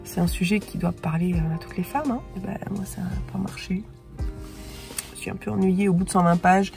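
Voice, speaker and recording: A middle-aged woman chatting casually about her reading from her parked car, congested with a sore throat and talking haltingly with frequent hesitations. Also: medium pitch, gravelly, music in the background. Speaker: book vlogger. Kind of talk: casual reading-journal chat.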